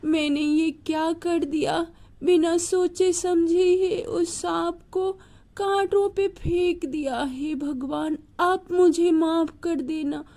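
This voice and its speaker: A devoted mother bird in a storybook tale, voiced by a woman. She speaks very high and slowly, with a soft tone and heartfelt emotion. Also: fairly even intonation, heavily acted. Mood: remorseful.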